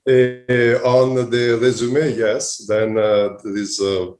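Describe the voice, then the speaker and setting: A man's voice: mid-range voice, monotone, takes it slowly. A man with an Italian accent answering interview questions conversationally and reflectively, searching for his words as he goes. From Italy. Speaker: podcast guest, hospitality company CEO. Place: remote call, echoey room. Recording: echoey remote call.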